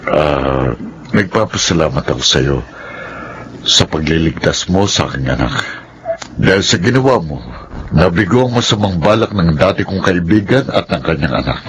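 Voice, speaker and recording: A man's voice: sits low, expressive, normal speed, a little hiss or hum, rough recording. An actor in a Filipino radio drama playing a dignified older father and boss, speaking formally and gratefully. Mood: grateful, solemn.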